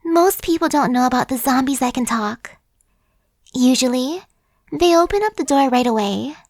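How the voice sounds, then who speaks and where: Woman as a talkative female zombie, coaxing, sly, high voice, dry close sound. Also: expressive, moderate pace, no room sound. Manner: coaxing, persuasive, casual chatter. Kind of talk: ASMR roleplay.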